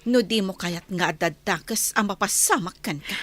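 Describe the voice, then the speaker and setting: An actress playing a stern, commanding mistress of a wealthy household in a radio drama, with an Ilocano accent. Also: female voice, medium pitch, moderate pace, fairly bright tone, a little hiss or hum, close to an everyday voice. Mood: threatening. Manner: commanding, threatening.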